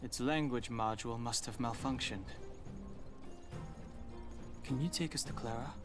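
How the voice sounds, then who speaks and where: A reserved young adventurer played by a man with a mid-range voice. He sounds sober and measured, delivering game dialogue with music behind him. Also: mid-range voice, close to an everyday voice. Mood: serious, calm.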